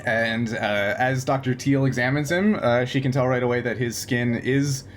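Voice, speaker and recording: A man's voice: medium pitch, moderate pace. A man running a tabletop game, improvising narration and bantering in a jokey, chuckling way. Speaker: game master. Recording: noisy room, steady background noise.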